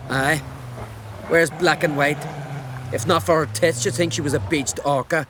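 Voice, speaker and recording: A man's voice: a little high, fairly even intonation, measured pace, background noise, natural voice. A man voicing a crude, gossipy island villager in a fantasy video game, talking in a blunt, folksy way. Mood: gossipy, wry.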